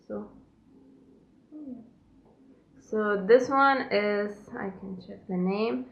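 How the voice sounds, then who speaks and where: Knitting podcast host, Lithuanian-accented woman, measured non-native English, dull lo-fi home recording from a camera. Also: medium pitch, creaky, roomy sound. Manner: unhurried, careful non-native English with pauses. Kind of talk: casual solo podcast chat. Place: her home, indoors.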